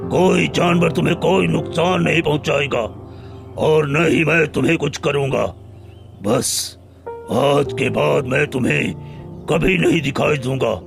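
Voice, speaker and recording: A man voicing a proud, wounded lion in a cartoon story, speaking solemnly and deliberately. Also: on the high side, creaky. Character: proud, wounded male lion, loyal friend turned stern. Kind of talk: scripted Hindi cartoon story dialogue. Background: background music.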